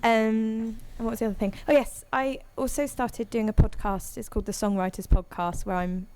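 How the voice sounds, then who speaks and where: Singer-songwriter guest, woman, higher voice, chatty and rambling. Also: fairly even intonation, normal speed, darkish tone, clear, resonant tone. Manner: chatty, rambling, many ums. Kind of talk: casual chat after a live set. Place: remote audio room call.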